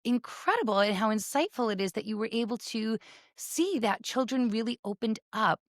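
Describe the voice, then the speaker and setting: A woman's voice: on the high side, animated, moderate pace, dry recording, clean studio sound. A woman hosting a conversational interview, speaking in an effusive, emphatic and affirming way. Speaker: podcast host.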